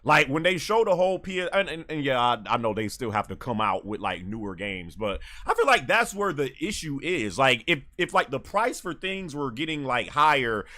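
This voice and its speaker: A man hosting a livestream and taking callers, talking in casual chat, animated and full of fillers and restarts. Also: mid-range voice, moderate pace, hardly any pauses. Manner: animated, laughs often, many fillers and restarts.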